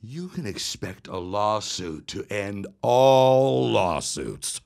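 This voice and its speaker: An actor playing a smooth, scheming and threatening businessman in a 1916 period audio drama, with condescending, ornate phrasing. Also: on the low side, very animated, a little mellow, heavily acted. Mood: scornful, threatening. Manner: condescending, deliberate, ornate wording.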